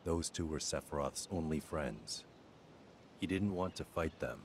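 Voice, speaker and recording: A man playing a grave, businesslike commanding officer in a video game, terse and measured. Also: a bass voice, lively intonation, a little hiss or hum. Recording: game music under the dialogue.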